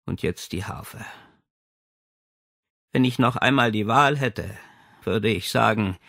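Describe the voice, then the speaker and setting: A man's voice: on the low side, slow pace, creaky, a bit bright, no echo, studio quality, exaggerated voice. Man voicing a haughty, imperious signora. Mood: wistful, bitter. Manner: condescending, commanding. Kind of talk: audiobook character dialogue.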